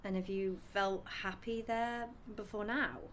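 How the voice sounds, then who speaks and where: An actress playing a perceptive, supportive old friend in a naturalistic audio drama, gentle and encouraging. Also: female voice, medium pitch, fairly even intonation, unhurried, slight background noise, close to an everyday voice. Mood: friendly, concerned.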